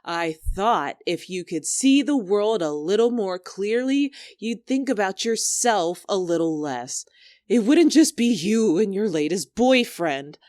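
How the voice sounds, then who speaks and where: A woman's voice: mid-range voice, animated, unhurried, darkish tone, no room sound, studio quality, close to an everyday voice. A woman playing a domineering, scornful young female squad leader in a dramatic argument, biting, sarcastic and commanding. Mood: bitter. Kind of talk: dramatic two-character argument performance.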